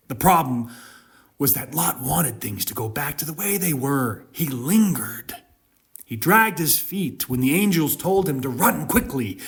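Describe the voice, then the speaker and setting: A man hosts a dramatic scripture commentary. His voice sits a little high with a slightly husky grain and moves through wide, expressive swings. He phrases things deliberately and with emphasis. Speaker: host, Bible commentator.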